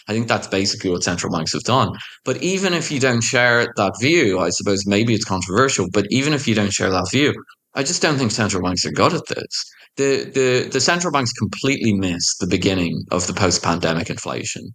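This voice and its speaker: A man's voice: on the low side, lively intonation, fairly quick, a bit bright, low-quality recording. A man speaking as a panel guest, giving blunt, opinionated views in a discussion. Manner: blunt, opinionated, pushes his points hard.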